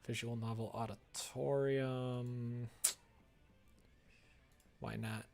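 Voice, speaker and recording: Man, heavy vocal fry, slow unhurried pace, casual livestream chat, thinking aloud, playful muttering. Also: mid-range voice, little pitch movement, a little mellow, faint music behind. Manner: muttering to self, playful asides and sound effects. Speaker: livestreamer making a visual novel.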